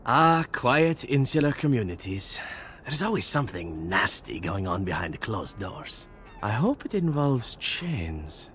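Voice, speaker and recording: An actor playing a sly, irreverent rogue companion in a fantasy game, with a wry and suggestive manner. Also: male voice, mid-range voice, very animated, measured pace, a bit bright, a little hiss or hum. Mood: wry, flirtatious. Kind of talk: fantasy video game acting.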